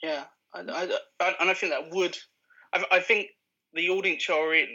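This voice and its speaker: A man in a casual podcast chat who thinks aloud about films. His voice is fairly high and very bright. He repeats his first words before getting going. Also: steady tone, normal speed, vocal fry, dull audio. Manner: musing, stammering on opening words. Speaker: podcast co-host. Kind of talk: casual film discussion.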